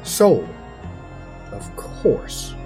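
A man narrating a comic fantasy story in a theatrical, deliberate dramatic-reading style. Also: warm tone, soft background music.

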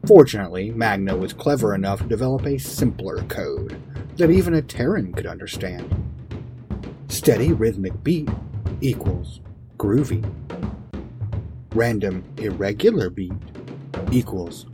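A man reads a fantasy story as dramatic narration. His voice is fairly low, and drum-heavy music plays clearly behind it. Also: lively intonation, a little slow, long pauses. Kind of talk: dramatic present-tense scripted narration. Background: music with drums and timpani.

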